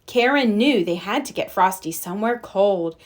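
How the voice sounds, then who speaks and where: A woman reading a children's storybook aloud as narrator, with expressive storytelling. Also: medium pitch, moderate pace. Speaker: storybook reader.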